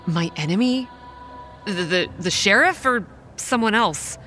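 A woman's voice: mid-range voice, animated, measured pace, sharp tone, soft background music. An actress playing a sarcastic, determined young woman who tells her own story in a horror audio drama. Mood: impatient, probing. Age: young adult. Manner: wry, first-person storytelling.

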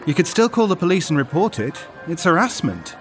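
A concerned male shop colleague in an audio drama. His voice is a little high and lively in its rise and fall. He comes across as helpful and conciliatory. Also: a little fast, soft background music. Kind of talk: naturalistic audio drama acting.

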